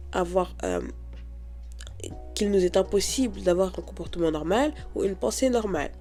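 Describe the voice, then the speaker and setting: A woman's voice: medium pitch, mellow. Woman with a stuffy head cold. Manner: chatty, addresses listeners directly. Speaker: podcast host.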